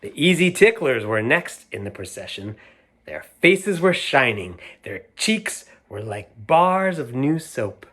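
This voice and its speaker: Slow, playful man reading a picture book. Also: medium pitch, big pitch swings, fairly bright tone. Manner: unhurried, playful, talks directly to young listeners. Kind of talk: read-aloud storytime with asides about the pictures.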